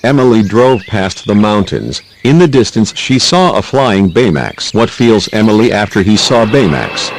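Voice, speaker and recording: A man's voice: lowish voice, big pitch swings, moderate pace, hardly any pauses, slight background noise, low-quality recording. A man reading scripted narration for a children's quiz video, with simple prompts in non-native English phrasing.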